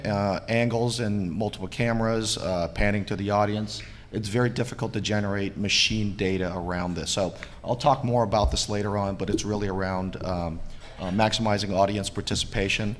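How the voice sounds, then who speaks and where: A man on a tech panel who is losing his voice, measured and apologetic, with many fillers. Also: on the low side, fairly even intonation. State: partly lost voice. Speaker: panelist, technical account manager. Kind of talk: conference panel talk. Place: large conference hall. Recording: reverberant hall, faint noise.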